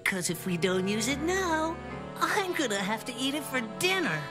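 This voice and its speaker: A woman's voice: sits high, big pitch swings, moderate pace. A woman voicing an eager, bumbling young boy in a children's cartoon. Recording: loud music behind the voice.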